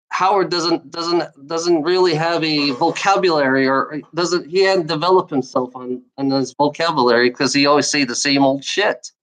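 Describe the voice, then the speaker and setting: A woman's voice: sits low, poor audio. A woman streamer who stutters, ranting at her chat in a rambling, repetitive way with frequent restarts and swearing. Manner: repetitive, restarts phrases, profane. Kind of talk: rambling livestream rant at chat trolls.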